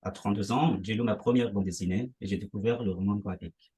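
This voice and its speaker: Husky-voiced man reading in measured lines. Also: fairly low pitch, warm tone. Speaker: panelist reading his life story. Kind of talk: reading a prepared text aloud.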